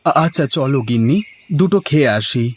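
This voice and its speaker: A man's voice: mid-range voice, animated, a little fast, lightly acted. Earnest, plaintive poor village farmer voiced by a man. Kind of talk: Bengali moral-story cartoon acting.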